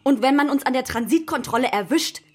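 Firm, loving mother, higher-pitched voice. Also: fairly quick, husky. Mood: stern, alarmed. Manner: expressive, switching between stern and tender. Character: loving but firm, worried mother of a girl. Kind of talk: children's radio drama acting.

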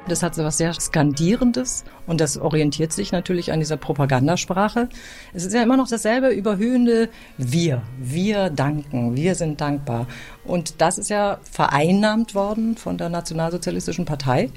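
A woman gives explanatory interview answers as a speech coach would. Her voice is fairly low, and her phrasing is spontaneous. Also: animated, moderate pace, darkish tone, faint music behind. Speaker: speech therapist, radio voice coach.

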